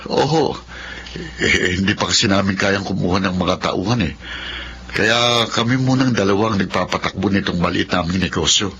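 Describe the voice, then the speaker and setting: An actor playing a supportive, humble, easygoing Filipino husband in a radio drama, speaking politely. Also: male voice, deep voice, moderate pace, fairly bright tone. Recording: dull lo-fi audio, faint noise.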